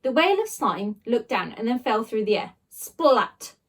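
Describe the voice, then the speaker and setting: Female children's story narrator, teacherly: animated, dramatic, slightly high, a bit off-mic. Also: unhurried, slightly rough. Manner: dramatic, expressive, emphatic sound words. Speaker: teacher reading aloud. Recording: voice a little distant from the microphone.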